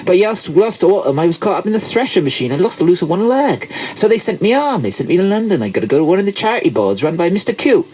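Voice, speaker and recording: A man's voice: sits high, big pitch swings, fast talker, few pauses, darkish tone, slightly rough, voice pushed up, exaggerated voice. A man voicing an earnest, worried Devon country labourer in a told story, with rustic speech. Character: Devon countryman, anxious maimed farm worker in London.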